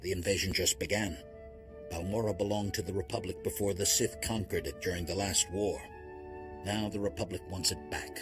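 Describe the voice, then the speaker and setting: Guy as sober jedi strategist for a game, low voice, measured briefing, music underneath. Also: steady tone, close to an everyday voice. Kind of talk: video game cutscene acting.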